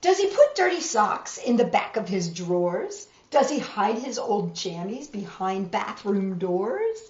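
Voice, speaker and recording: A woman's voice: medium pitch, big pitch swings, measured pace, darkish tone, roomy sound, highs cut off. A woman hosting a children's storytime, reading aloud and chatting directly to young listeners.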